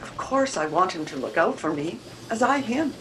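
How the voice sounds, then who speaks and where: A woman's voice: fairly low pitch, very animated, normal speed. Older woman acting proper edwardian wife in a radio play, earnest n troubled, careful formal words, bit of call noise. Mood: indignant. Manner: formal, careful period diction. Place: room at home, video call. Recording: video call with background noise.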